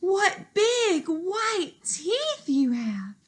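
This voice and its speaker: A woman's voice: very high voice, sing-song, extremely slow, pitched above the performer's own voice, full character voice. A woman playing an eager little storybook girl. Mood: amazed.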